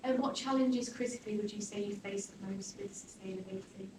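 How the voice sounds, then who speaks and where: A woman's voice: medium pitch, quick pace. A woman interviewing a guest, asking casual questions in a light, encouraging way. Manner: light, encouraging, short backchannels. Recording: distant mic in an echoey room with faint noise.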